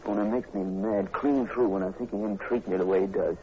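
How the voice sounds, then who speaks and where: Man acting mellow-voiced, indignant Southern farm boy. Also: lowish voice, steady tone, brisk, natural voice. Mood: indignant, protective. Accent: American South. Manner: folksy, ungrammatical, earnest drawl. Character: indignant young rural Louisiana man courting a girl. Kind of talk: 1940s radio drama acting. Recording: muffled old radio recording with faint hiss.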